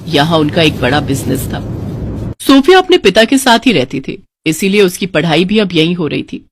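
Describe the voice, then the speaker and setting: A woman's voice: medium pitch, dry recording. A woman with an Indian accent reading scripted narration for a moral story.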